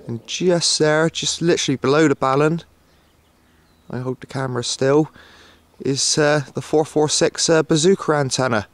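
A man narrating a casual outdoor vlog in a hesitant, matter-of-fact way, often pausing as he goes. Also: a little high, steady tone, no room sound. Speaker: radio hobbyist filming himself. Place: outdoors on an open hilltop. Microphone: handheld camcorder, operator behind camera.

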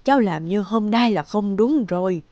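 A woman playing a gentle old grandmother in an animated drama, patiently consoling and admonishing. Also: medium pitch, normal speed, no room sound, dull audio, lightly acted. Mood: gently reproving.

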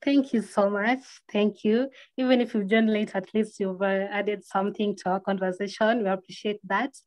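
A woman's voice: medium pitch, steady tone, moderate pace, a little mellow, low-quality recording. Kenyan woman moderating online. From western Kenya. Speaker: host, moderator. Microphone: video call.

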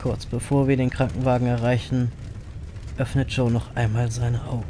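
An actor playing a weary, grim ferryman who narrates his own story in an audio drama, brooding and measured. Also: medium pitch, flat delivery, noisy background, close to an everyday voice. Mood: tense, narrating.

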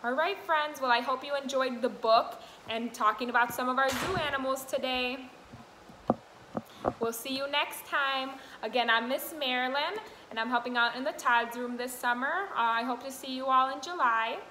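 A woman's voice: high voice, moderate pace. A young adult woman reading aloud and teaching small children in a sing-song way, asking simple questions. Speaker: toddler classroom helper. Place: indoor classroom, sitting on the floor. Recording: roomy echo, faint room noise.

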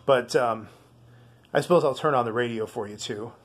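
A man's voice: medium pitch, moderate pace. Rambling, easygoing man showing gear. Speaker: video host. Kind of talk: casual product demonstration.

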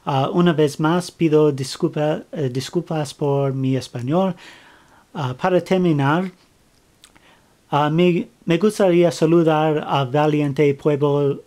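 A man speaking Spanish as a second language, giving a step-by-step craft tutorial, with many fillers, restarts and pauses as he searches for words. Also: on the high side, dark tone. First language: not Spanish. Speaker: flag-craft tutorial maker. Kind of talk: halting how-to narration.

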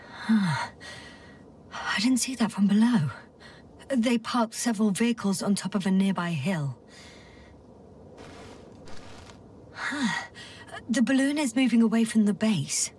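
Actress as a breathy, weary woman space explorer, slightly distant. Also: medium pitch, lively intonation, measured pace, darkish tone, slight background noise, natural voice. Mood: focused, observing. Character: weary, stubborn female space-mission scientist exploring a planet. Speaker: game protagonist, doctor on a space crew. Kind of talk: naturalistic video game voice acting.

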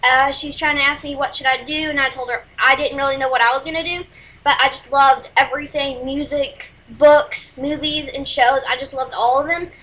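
A woman's voice: high-pitched, fairly even intonation, normal speed, a bit bright, poor audio. A teenage girl from Texas with a Texas accent, rambling and apologetic through a casual webcam music review at home. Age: teens. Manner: rambling, apologetic, many fillers. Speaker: music vlogger. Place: small room at home, indoors. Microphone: webcam built-in mic.

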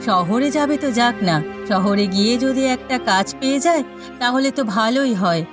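A woman playing a soothing, soft-hearted middle-aged village mother in a cartoon, speaking reassuringly. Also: on the high side, normal speed, music in the background, natural voice. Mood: gently defensive. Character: gentle, soothing village mother of a grown son. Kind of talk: Bengali moral-story cartoon dubbing.

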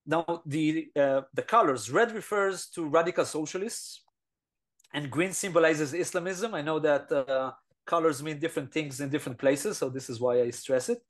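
A man's voice: on the high side, normal speed. A middle-aged man with an Israeli accent, giving an earnest webinar talk from a small room over a headset, with many fillers and restarts. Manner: earnest, emphatic lecturing with frequent fillers and restarts. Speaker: guest lecturer. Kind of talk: webinar presentation. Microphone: headset on a video call.

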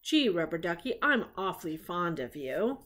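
A friendly young puppet boy from a storybook, read by a woman. He muses earnestly in a gee-whiz way and worries easily, with lively pitch. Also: moderate pace, slight background noise. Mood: fond, earnest.

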